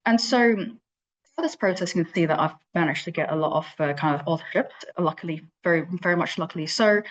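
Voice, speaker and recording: Woman from Hong Kong, thirties, deep voice, hesitant conference speaker with many fillers. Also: fast, muffled recording. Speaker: invited speaker, lab leader. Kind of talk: virtual conference talk.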